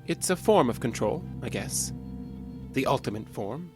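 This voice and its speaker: An actor playing a controlled, secretly murderous male therapy patient in an audio drama, speaking in a deliberate, halting, guarded way. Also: medium pitch, lively intonation, natural voice. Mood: brooding, intense. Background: music.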